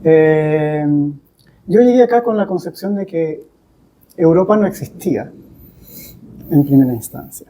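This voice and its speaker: Podcast guest, male. Relaxed, mellow tone, unhurried and measured, pausing between short phrases. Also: on the high side, slight background noise. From Chile. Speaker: guest, English teacher. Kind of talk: reflective podcast conversation.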